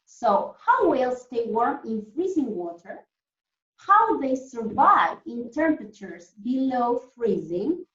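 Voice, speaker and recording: A woman's voice: fairly high pitch, sing-song, takes it slowly, a bit bright. A woman primary school teacher leading an online lesson for children, explaining things simply and often checking that they follow. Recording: echoey room, distant muffled mic.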